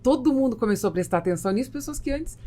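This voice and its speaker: A Brazilian woman hosting a business podcast, asking long questions and telling lively anecdotes. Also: a little high, rapid-fire. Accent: Brazilian Portuguese. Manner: animated, tells anecdotes, asks long questions. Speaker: podcast host.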